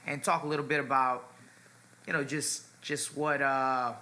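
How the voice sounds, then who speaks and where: A young man from Ecuador telling his story as a podcast guest, in a relaxed, laid-back way with many fillers. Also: mid-range voice, measured pace, slight background noise. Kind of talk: casual interview chat.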